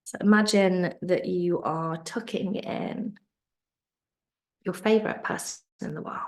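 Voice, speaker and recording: Slow, soothing woman with a gravelly voice. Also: fairly low pitch, fairly even intonation. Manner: soft and soothing, sometimes drifting into a whisper. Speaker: yoga nidra teacher. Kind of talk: guided relaxation instruction. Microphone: video call.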